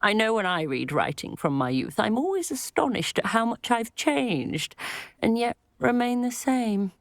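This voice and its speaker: An older woman voicing a kindly, wistful widowed potion-maker in a video game, chatting gently and fondly. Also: a little high, sing-song, unhurried, dry recording, clean studio sound. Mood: warm. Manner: gentle, chatty, fond reminiscing. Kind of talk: video game dialogue acting.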